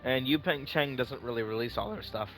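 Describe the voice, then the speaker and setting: A man's voice: mid-range voice, brisk, a bit bright, slight background noise, rough recording. Casual, rambling male game streamer. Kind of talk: casual playthrough commentary. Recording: faint music behind the voice. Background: game music.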